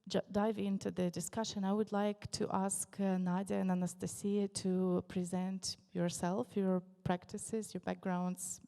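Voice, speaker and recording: A woman's voice: medium pitch, flat delivery, unhurried, gravelly, hardly any pauses, warm tone, big-room sound. A woman moderating a panel discussion, polite and a little hesitant.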